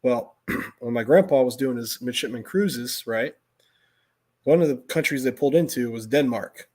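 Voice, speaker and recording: A middle-aged American man talks off the cuff in an everyday mid-pitched voice. He rambles through stories, doubling back and restarting his thoughts as he goes, in the relaxed style of a casual livestream. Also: moderate pace. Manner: rambling, self-interrupting storytelling.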